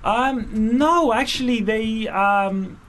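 A man's voice: high-pitched, takes it slowly, a bit bright, slight background noise. A man, a native Russian speaker and comedian guest, chatting easily and laughing often. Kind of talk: casual studio chat.

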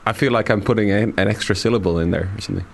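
A man's voice: on the low side, very fast, gravelly. A man from Ireland with an Irish accent, a guest chatting with hesitant, stammering restarts. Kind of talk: casual panel chat.

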